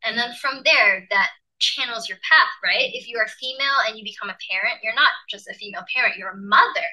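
A woman from Malaysia presents a workshop over a video call, her voice very bright and a little high. She explains with animated emphasis, like a professor walking colleagues through a slide. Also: fairly quick, rough recording. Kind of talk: leading an online teaching workshop.

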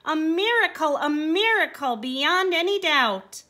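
Woman voicing a high-pitched, emotional little donkey. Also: animated, measured pace, bright, forceful tone, heavily acted. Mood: excited, amazed. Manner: exclaiming, emphatic rhyming speech. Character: small, weak young male donkey, downcast then excitedly believing.

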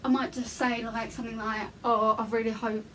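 Young southeast English woman, high-pitched voice. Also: little pitch movement, brisk, a bit bright. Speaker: vlogger making prank calls. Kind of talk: casual chat. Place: small bedroom, indoors. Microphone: camera a short distance away. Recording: faint background room noise.

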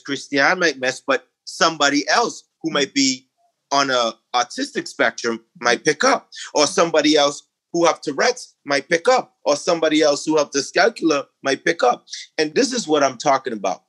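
A middle-aged man from the Bahamas with a Bahamian accent, a guest in a conversational interview, talking emphatically and climbing in pitch when he makes a point. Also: expressive, moderate pace, sharp tone. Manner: emphatic, rising to a preaching lilt when making a point.

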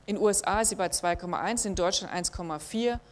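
A middle-aged woman lectures from slides in a lecture hall, at a middling pitch for a woman. She speaks in a measured way, pausing often with small fillers as she explains. The tone is academic and explanatory. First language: German. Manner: measured, thinking aloud with frequent fillers. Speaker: university lecturer.

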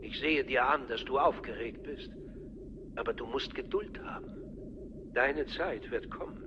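A man plays a fatherly, subtly manipulative older mentor in a radio drama. He speaks with measured patience at a middling pitch, in a dull sound over noticeable noise. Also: close to an everyday voice. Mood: soothing. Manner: measured, paternal. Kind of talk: radio play acting. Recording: dull sound, clearly noisy ambience.

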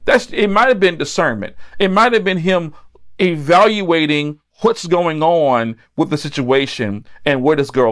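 A middle-aged American man delivers a sermon in a slightly high voice. He phrases his points deliberately and leans on key lines, repeating them with emphasis. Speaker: preacher. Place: indoor set with a decorated backdrop.

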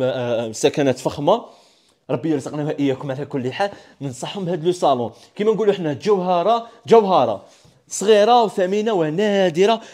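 A young Algerian man talks at a rapid clip in a high, bright-edged voice, his Arabic peppered with French terms. He pitches products with eager salesman energy while walking through a big tiled showroom. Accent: Algerian Arabic with French words. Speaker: furniture showroom presenter. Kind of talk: sales walkthrough video. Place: large indoor furniture showroom with tiled floor.

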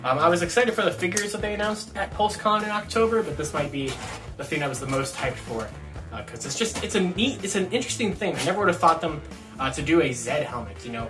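A man's voice: on the high side, expressive, fast, over music. A young man giving a casual, enthusiastic unboxing review to camera in an informal, chatty way. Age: young adult. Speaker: toy review vlogger. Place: small dorm bedroom, indoors.

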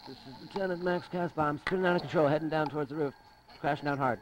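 A man's voice: on the high side, fast, slight background noise, close to an everyday voice, low-quality recording. A man voicing a brash, grumbling space pilot in distress in an amateur sci-fi cartoon. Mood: urgent. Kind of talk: amateur animated sci-fi voice acting.

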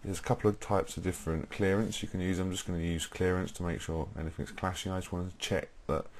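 A young British man presenting a software tutorial, explaining informally step by step in a husky voice. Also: low-pitched, steady tone, fast. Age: young adult.

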